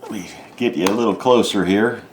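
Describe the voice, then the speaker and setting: A man's voice: lowish voice, a little fast, slight background noise. A man giving an unscripted, methodical step-by-step narration of a hands-on repair demonstration. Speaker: DIY repair presenter. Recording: echoey room.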